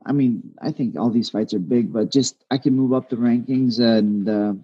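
A man whose first language is Aramaic, speaking as a fighter guest in a casual interview, humble and halting with many restarts. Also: mid-range voice, slightly rough, muffled recording.

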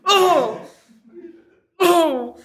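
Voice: very high-pitched, lively intonation, extremely slow, fairly bright tone, exaggerated voice. A performer voicing a needy, jilted deal website as a weepy, whiny ex in a live radio-style comedy sketch. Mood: tearful, wounded. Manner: melodramatic, whiny, stammering pleading. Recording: live unedited stage performance.

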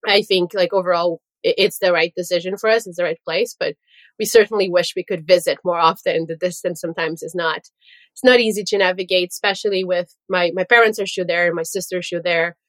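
A woman's voice: lowish voice, steady tone, brisk, fairly bright tone, no echo. A young woman from Brazil, whose first language is Portuguese, chatting as a podcast guest and laughing through her stories. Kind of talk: casual chat.

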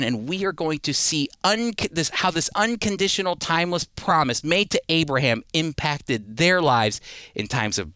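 A man's voice: a little high, sharp tone, clear, resonant tone, no echo. A man preaching as a Bible teacher, emphatic, posing rhetorical questions and repeating phrases for weight. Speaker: Bible teacher and series host. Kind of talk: sermon, expository preaching.

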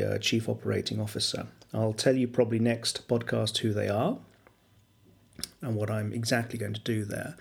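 A man's voice: medium pitch, creaky. Man hosting a business podcast. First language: English. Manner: measured, explanatory, with frequent fillers and self-corrections. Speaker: podcast host and business English coach. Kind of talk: solo podcast monologue.

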